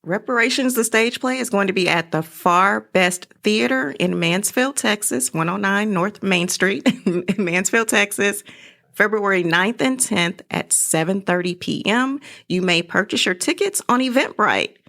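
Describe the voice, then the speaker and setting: A woman's voice: mid-range voice, lively intonation, a little slow, creaky, a bit bright. A woman chatting casually as a guest in an interview, recorded in a podcast studio.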